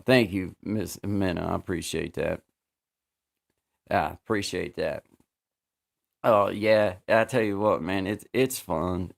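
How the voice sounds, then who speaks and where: A man hosting a livestream, chatting casually and rambling, often repeating himself and filling pauses. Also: low-pitched, vocal fry. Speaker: livestream host.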